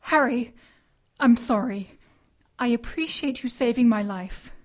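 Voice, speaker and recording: A woman's voice: on the high side, unhurried, a little mellow, natural voice. An actress playing a proud, principled young British woman, a detective's prim and emphatic partner in an audio drama. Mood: subdued.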